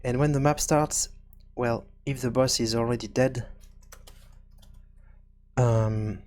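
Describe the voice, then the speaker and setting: A man presenting an unscripted programming tutorial, hesitant and halting as he thinks aloud. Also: medium pitch, steady tone, a little mellow.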